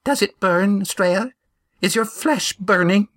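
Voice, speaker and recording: A man voicing a plump middle-aged widow, earnest and anxious, in a raised, breathy-soft voice. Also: high voice, measured pace, no echo, studio quality, voice pushed up.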